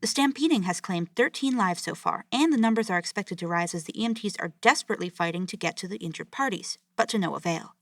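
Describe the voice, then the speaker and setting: Woman acting as serious urgent newsreader in an audio drama, medium pitch, very dry recording. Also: expressive, quick pace, few pauses, no echo, natural voice. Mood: grave. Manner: formal, urgent. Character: grave female news anchor reporting a city's collapse. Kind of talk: scripted news broadcast in an audio drama.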